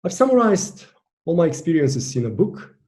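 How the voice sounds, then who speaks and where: A Bulgarian-accented man talks through a coaching masterclass with a warm, mellow tone. He speaks English as a second language, and his delivery is earnest and deliberate. He presses on key words as he lays out each point. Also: on the high side, very animated. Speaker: virtual team coach. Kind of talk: online masterclass presentation.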